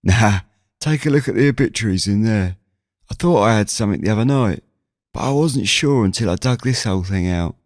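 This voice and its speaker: A man's voice: fairly low pitch, expressive, fairly quick, no room sound, studio quality, pitched above the performer's own voice, lightly acted. A man voicing a chatty, nosy old village grandfather in an audio drama, folksy and grumbling in manner. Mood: gruff, teasing. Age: older. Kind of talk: audio drama character dialogue.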